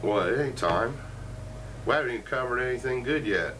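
A man's voice: medium pitch, lively intonation, fairly quick, a bit bright. A middle-aged man hosting a casual, joking chat in a cluttered living room, wandering through ideas with lots of asides. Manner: joking, meandering, with frequent asides. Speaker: show host. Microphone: fixed camera across the room. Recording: distant camera mic, faint room noise.

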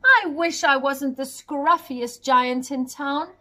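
A woman's voice: sits high, slow, bright, forceful tone, full character voice. A woman voicing a kindly giant in a children's story, speaking in a cheery, sing-song way. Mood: cheerful. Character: a kindly male giant who wants to look smart.

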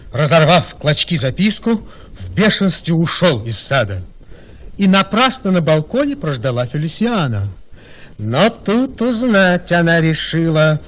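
An actor playing an animated storyteller, narrating a romantic radio comedy in dramatic verse. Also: male voice, a little high. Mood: wry storytelling.

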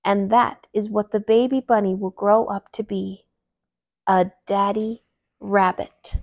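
A woman in her thirties reading a picture book aloud to young children in an expressive, animated storytelling way. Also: medium pitch, measured pace. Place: small living room, indoors. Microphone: clip-on lapel mic.

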